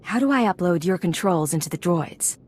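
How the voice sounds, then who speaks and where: A woman's voice: lowish voice, expressive, normal speed, a little mellow. A woman playing a cool, no-nonsense secret agent in a video game, speaking in a curt, controlled and direct manner.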